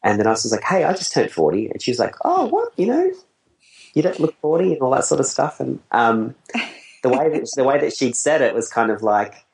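A man's voice: medium pitch, very animated, brisk, gravelly, darkish tone, rough recording. A man in his forties, a wedding photographer, talking casually in a rambling, self-deprecating way and laughing easily. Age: 40s. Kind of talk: casual conversation.

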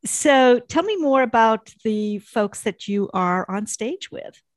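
A woman's voice: on the high side, lively intonation, takes it slowly. A woman hosting a podcast, asking questions in a casual, conversational interview style.

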